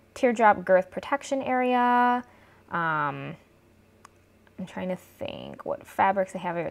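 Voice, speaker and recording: A woman in her twenties with a Midwestern American accent, giving a chatty, informal product review as a vlogger. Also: medium pitch. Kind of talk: casual product review to camera. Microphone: clip-on lapel mic.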